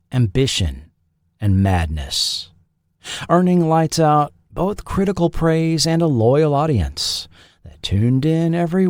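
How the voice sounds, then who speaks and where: A man reading a scripted documentary narration as host, with measured, dramatic phrasing. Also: mid-range voice, darkish tone, no room sound. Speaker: host and narrator of a history show.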